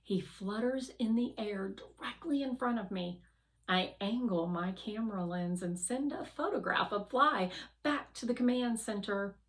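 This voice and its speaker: Woman reading a kids book out loud, really slow, normal mid pitch, careful and expressive. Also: poor audio. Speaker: read-aloud narrator. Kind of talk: expressive children's audiobook narration.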